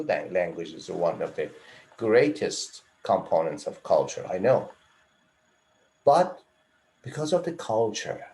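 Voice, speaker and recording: A man giving an online psychology lecture, repeating and rephrasing his points and listing items one after another, with emphatic rises and drops in pitch. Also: warm tone. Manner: repeats and rephrases phrases, lists items in runs. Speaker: psychology instructor.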